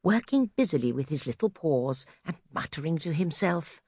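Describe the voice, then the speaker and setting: Animated low-voiced woman storyteller. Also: moderate pace, slightly rough, dry recording, clean studio sound. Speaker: audiobook narrator. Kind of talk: expressive scripted children's story narration. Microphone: close studio microphone.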